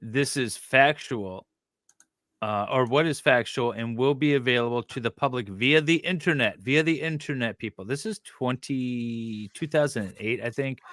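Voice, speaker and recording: A man's voice: medium pitch, moderate pace, fairly bright tone, dry recording, studio quality. A man co-hosting a livestream, talking casually and sometimes reading aloud. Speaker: livestream co-host.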